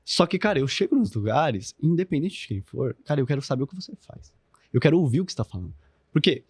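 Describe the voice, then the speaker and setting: Fast, slangy young Brazilian guy. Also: fairly high pitch, very animated, darkish tone, breathy edge, dry recording, studio quality. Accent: Brazilian Portuguese. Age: 20s. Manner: slangy, swears freely, heavy fillers. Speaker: podcast guest, business founder. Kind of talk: casual podcast chat.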